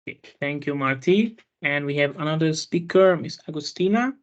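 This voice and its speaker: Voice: medium pitch, normal speed, dull audio. A student hosting an online panel, talking hesitantly with many fillers and restarts. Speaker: panel host and student speaker.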